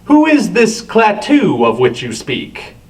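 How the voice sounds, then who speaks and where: High-voiced man, wry reviewer, off-mic. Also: wildly swooping pitch, slow pace. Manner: wry, sardonic. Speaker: reviewer, show host. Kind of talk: scripted review commentary. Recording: distant from the microphone.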